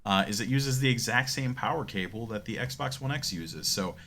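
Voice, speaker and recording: A man's voice: medium pitch, normal speed, a bit bright. A man co-hosting a podcast, chatting casually about tech in an opinionated, explanatory way. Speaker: podcast co-host. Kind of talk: casual gaming tech discussion.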